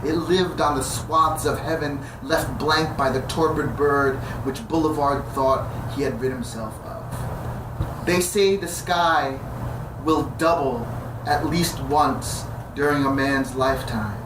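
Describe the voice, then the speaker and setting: A man with a fairly high voice reads poetry in a measured way, slowing to linger over key phrases and slipping into relaxed, hesitant storytelling between poems. He stands back from the microphone in a live venue, so the sound is roomy and distant. Steady background noise runs under his voice. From New Jersey. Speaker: poet. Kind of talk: poetry reading with spoken introduction.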